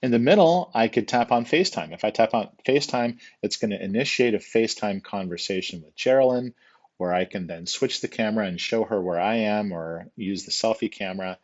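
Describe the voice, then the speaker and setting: Male tutorial instructor, fairly low register, patient and informal step-by-step delivery, mildly lo-fi sound. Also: a little fast.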